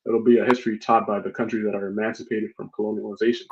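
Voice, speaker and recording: Low-voiced man on a muffled call. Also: little pitch movement, very fast, a little hiss or hum. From Congo. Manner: earnest, reflective, many fillers and restarts. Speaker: organization founder, guest. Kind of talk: live online conversation. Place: home room, map on wall behind. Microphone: video call. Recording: muffled lo-fi audio.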